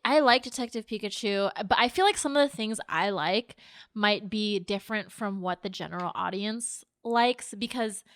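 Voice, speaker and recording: A woman's voice: medium pitch, normal speed, a bit bright, dry recording. A woman podcaster with a mid-range voice talks in a loose, conversational way full of "like". The sound is very dry, with no room around it. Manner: conversational, peppers speech with "like". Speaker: podcast host. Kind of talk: casual chat.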